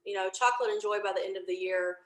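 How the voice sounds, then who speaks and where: Female interviewee, mid-pitched, informal and rambling explainer, roomy echoing sound. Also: steady tone, quick pace. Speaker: podcast creator being interviewed. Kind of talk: casual, chatty interview explanation. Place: indoor home office, remote video call.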